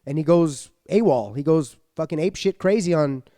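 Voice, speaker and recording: Excitable swearing dad, podcast co-host. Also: male voice, fairly high pitch, normal speed, no echo, clean studio sound. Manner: animated ranting, heavy swearing. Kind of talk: casual chat.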